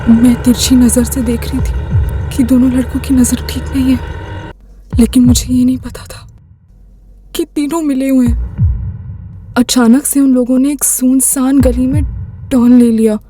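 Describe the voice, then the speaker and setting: A young woman playing a shaken crime victim who gives her account haltingly in a TV crime drama. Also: high-pitched, steady tone, dark tone, dry recording, distant mic, faint music behind. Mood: uneasy. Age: young adult. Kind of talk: TV crime drama acting, first-person account. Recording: off mic with clear background noise.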